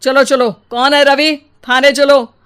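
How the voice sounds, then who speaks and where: A woman voicing a brusque police officer in a cartoon story, brisk and authoritative. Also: sits high, level tone, fairly bright tone, pitched above the performer's own voice. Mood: stern.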